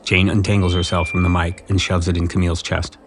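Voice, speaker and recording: A man's voice: sits low, flat delivery, fast talker, background noise. A man narrating the action of a romantic comedy audio drama from a script. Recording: music bed behind the voice.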